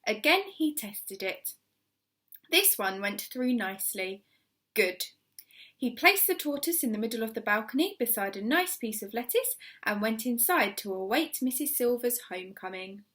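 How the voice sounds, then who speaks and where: A woman with a slightly high voice narrates a children's story. She reads expressively, like a teacher reading to young pupils. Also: animated, moderate pace, a bit bright. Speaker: primary school teacher reading aloud.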